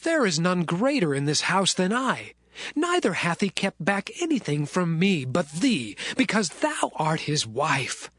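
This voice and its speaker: A man's voice: high-pitched, sing-song, unhurried, a bit bright, no echo, clean studio sound, voice pushed up, exaggerated voice. A man voicing an upright young male servant in a dramatized scripture reading, speaking earnestly.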